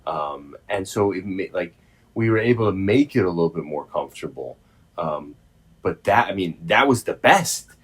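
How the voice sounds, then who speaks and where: A middle-aged man in a casual phone conversation, speaking informally and haltingly, with some swearing. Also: low-pitched, expressive, slightly rough. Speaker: host, former prisoner.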